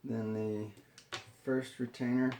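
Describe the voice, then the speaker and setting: Male how-to presenter, unhurried and dark-toned, unscripted, explaining each step haltingly in an echoey room. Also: medium pitch, level tone, slight background noise. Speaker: tutorial presenter. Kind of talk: hands-on how-to demonstration, unscripted.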